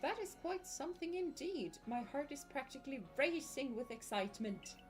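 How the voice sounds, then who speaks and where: A gushing, old-fashioned professor, as a woman puts him on, speaks in a patchy attempt at a Scottish accent. His voice sits fairly high and rings with formal, theatrical enthusiasm. Faint game music plays underneath. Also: lively intonation, normal speed. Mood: excited wonder. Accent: put-on Scottish attempt.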